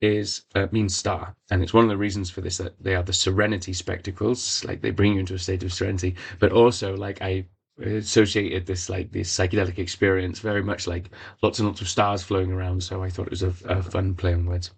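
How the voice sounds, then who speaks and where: Welsh-native guy, lowish gravelly voice, rambly casual how-to, keeps restarting sentences. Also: flat delivery, a little fast. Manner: rambling, many fillers and restarts. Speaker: device maker. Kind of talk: casual instructional walkthrough.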